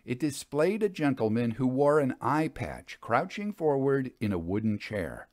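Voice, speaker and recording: A man's voice: mid-range voice, very animated, a little slow, a little mellow, no room sound, clean studio sound. A man reading a scripted story as its narrator.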